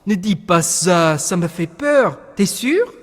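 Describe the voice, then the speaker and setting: An actor playing a timid, whiny young French student with a French accent in a video game. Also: male voice, high-pitched, very animated, unhurried, fairly bright tone, full character voice. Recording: long echoing reverb.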